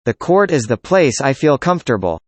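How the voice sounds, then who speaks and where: A man's voice: mid-range voice, very animated, moderate pace, dry recording. Man reading scripted classroom dialogue. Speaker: student in a dialogue.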